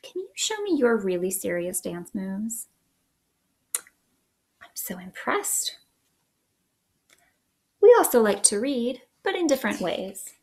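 A woman in her thirties hosting a children's story time, reading aloud in an expressive, sing-song way at home. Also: mid-range voice, slow pace, pauses often. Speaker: children's librarian, storytime host. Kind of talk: picture book read-aloud for young children. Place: small living room indoors.

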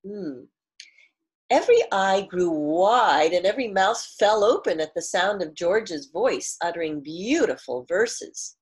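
A middle-aged woman reading a storybook aloud expressively like a teacher, deliberately stressing key words and pausing to explain. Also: fairly low pitch, husky. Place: small living room at home, indoors.

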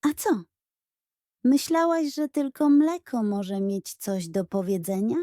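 Woman as a theatrical, high-voiced female giraffe. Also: lively intonation, takes it slowly, dark tone, no echo, studio quality. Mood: huffy. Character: indignant, dramatic female giraffe in pajamas.

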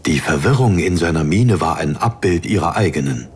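Deep-voiced solemn male audiobook narrator. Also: expressive, moderate pace, slight background noise. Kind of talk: dramatic scripted fantasy narration.

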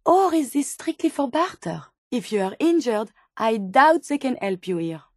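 High-voiced, curious robot scientist. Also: female voice, sing-song, normal speed, no room sound, studio quality. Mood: earnest, analytical. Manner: formal, precise wording with wide-eyed wonder. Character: curious, polite female robot scientist, earnest and naive. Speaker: video game voice actor. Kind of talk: scripted game companion dialogue.

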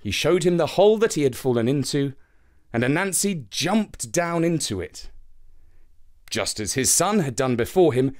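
A man narrating a scripted children's story in a theatrical, expressive way. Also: a little high, moderate pace.

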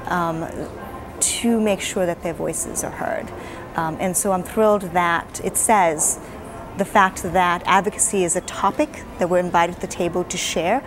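A woman in her forties speaks in a slightly low voice, answering interview questions in an earnest, measured way with many small fillers. She is recorded on a lapel mic in a hotel lounge, with steady room noise behind her. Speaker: advocacy director, interviewee.

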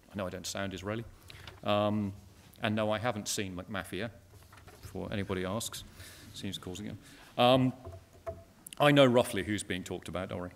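A man's voice: mid-range voice, a bit bright. Dry man at a lectern. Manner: dry, matter-of-fact, with asides to the audience. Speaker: conference speaker. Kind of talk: conference talk at a lectern. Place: lecture hall stage. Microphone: lectern microphone.

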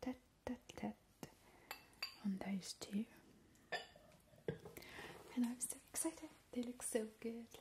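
A woman in a whispered ASMR roleplay, drawing out her words and repeating trigger words softly, recorded indoors at a table on a close mic. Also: a little high, takes it slowly, pauses often, noisy background. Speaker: ASMR channel host.

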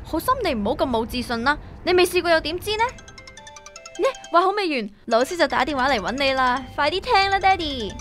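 A woman's voice: sits high, lively intonation, fairly quick, a bit bright, soft background music. A woman playing a cheeky young daughter who teases her dad, in Hong Kong Cantonese radio drama style. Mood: playful, insistent.

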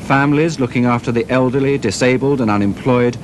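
A man reads scripted news narration in a measured, formal tone at an ordinary pitch. Faint hiss from an old tape sits under his voice. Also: low-quality recording.

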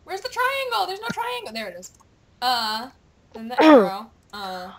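A young woman gamer with a playful, excitable, teasing manner, chatting over gameplay and reading game dialogue aloud. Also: a little high, normal speed, noisy background. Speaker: gamer, video host.

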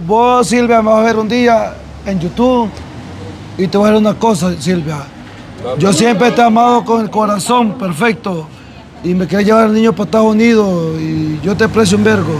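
A man's voice: high-pitched, normal speed, darkish tone. A young man with a Salvadoran accent talking in a rambling, emphatic, slangy way in a casual street interview. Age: young adult. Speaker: interview guest, former footballer living on the street. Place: outdoors on a city street at night. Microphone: clip-on lavalier. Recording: noisy street with passing traffic.

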